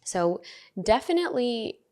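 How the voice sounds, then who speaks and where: A woman's voice: on the high side, big pitch swings, unhurried, darkish tone. A woman guest on a podcast, talking earnestly and reflectively about her past, with frequent restarts. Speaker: podcast guest, resilience coach. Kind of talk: conversational interview.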